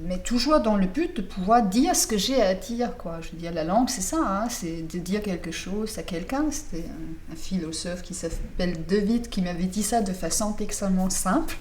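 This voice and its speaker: A woman's voice: medium pitch, moderate pace, few pauses, darkish tone, slight background noise. A woman novelist answering interview questions in French, which is not her first language, thoughtful and often restarting as she searches for words.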